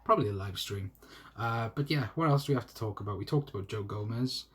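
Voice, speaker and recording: A man's voice: lowish voice, fairly bright tone, slightly rough. A young man with a South Welsh accent, chatting casually on a football live stream from his phone, rambling with many restarts. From South Wales. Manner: rambling, halting with restarts and laughs. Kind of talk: casual live stream chat reading comments. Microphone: phone mic.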